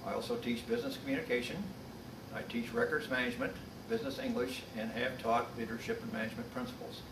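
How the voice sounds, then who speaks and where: A man from the US Midwest speaking as a college instructor, giving a prepared introduction in a measured, earnest way. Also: medium pitch, level tone. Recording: distant mic, roomy echo, noisy background.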